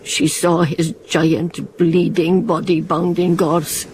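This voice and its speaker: A woman's voice: lowish voice, level tone, takes it slowly, a little mellow, a little hiss or hum, poor audio. An older woman playing a brooding village witch who narrates a grim horror tale in an ominous, incantatory way, in a radio drama.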